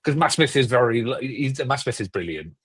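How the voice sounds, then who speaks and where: A man's voice: medium pitch, lively intonation, moderate pace, fairly bright tone, slightly rough. A man chatting casually and enthusiastically as a podcast panelist, emphatic and often repeating himself for effect. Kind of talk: casual fan chat.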